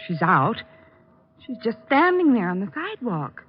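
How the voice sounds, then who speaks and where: A woman's voice: fairly high pitch, big pitch swings, moderate pace, husky. An actress playing a detective's proper, earnest and insistent girlfriend who scolds him, in old-time radio drama style. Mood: earnest concern. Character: detective's proper, easily embarrassed, insistent sweetheart. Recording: dull vintage radio recording.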